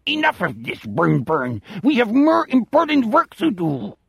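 A guttural alien mock-German voice. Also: male voice, high-pitched, wildly swooping pitch, measured pace, gravelly, a bit bright, breathy edge, pitched above the performer's own voice, full character voice. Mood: commanding. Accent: odd mock-German. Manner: gloating, sing-song, unhinged. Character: guttural alien-sounding male second personality.

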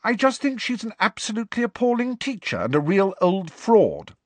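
A man's voice: sits high, big pitch swings, moderate pace, dry recording, pitched above the performer's own voice. A man voicing a stern, bookish teenage schoolgirl who talks severely and loftily. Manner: severe and lofty.

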